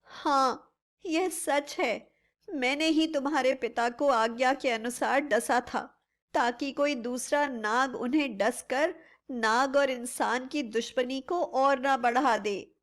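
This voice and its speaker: A serpent mother voiced by a woman, high in pitch and very slow. She sounds solemn and self-sacrificing, confessing deliberately in cartoon fairy-tale style. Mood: solemn confession.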